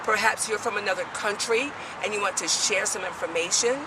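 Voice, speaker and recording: A woman's voice: medium pitch, fairly quick. Chatty woman host, street interview. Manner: chatty, upbeat, self-deprecating. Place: outdoors in a city. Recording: distant mic with street noise.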